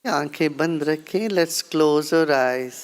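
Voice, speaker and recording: This is a meditation guru with an Indian accent. The delivery is extremely slow, with long pauses between gentle instructions. The voice sits at a medium pitch and stays calm and unhurried throughout. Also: clean tone.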